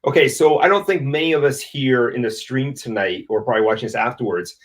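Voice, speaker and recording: A man's voice: medium pitch, a little fast. A man hosting a casual live-stream interview, agreeing often and asking open questions. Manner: affirming, frequent agreeing interjections. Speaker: interview host.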